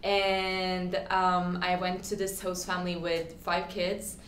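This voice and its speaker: A woman's voice: lowish voice, little pitch movement, takes it slowly, fairly bright tone. A woman in her twenties from Sweden, a guest telling her story in a casual interview, chatty and full of "like" and restarts, often acting out what people said. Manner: chatty, lots of "like" and restarts, acts out quotes. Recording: echoey room, faint ambient noise.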